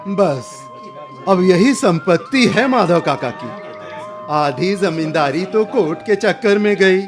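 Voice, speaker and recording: A man's voice: sits high, lively intonation, slow, faint music behind. A man playing a wry, belittling village gossip in a Hindi radio drama, drawing out his words. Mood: wry, mocking.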